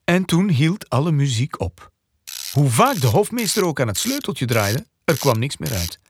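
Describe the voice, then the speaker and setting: A man narrating a scripted fairy tale as an expressive storyteller. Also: fairly high pitch, normal speed, slight background noise.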